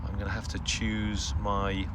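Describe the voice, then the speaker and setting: A man's voice: on the low side, completely flat delivery, unhurried. A middle-aged man giving a casual, unscripted update, rambling hesitantly into asides. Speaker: radio hobbyist video host. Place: outdoors in a garden. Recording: outdoor ambient noise.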